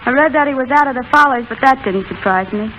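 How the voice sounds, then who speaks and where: A woman's voice: sits high, quick pace, sharp tone. An actress playing a loyal, knowing, self-possessed woman of show business, in 1930s radio style. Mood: knowing, resolute. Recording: tinny, hissy 1930s radio broadcast.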